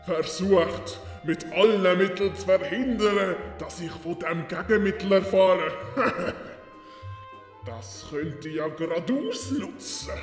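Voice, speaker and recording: A woman voicing a sly, scheming man who wheedles slowly in an audio play. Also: fairly low pitch, soft background music. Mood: sly, coaxing. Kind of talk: German-language audio play acting.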